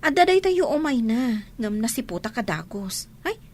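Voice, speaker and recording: A woman's voice: a little high, big pitch swings, normal speed, a little mellow. A woman with an Ilocano accent playing a coy young village maiden being courted in a radio comedy drama.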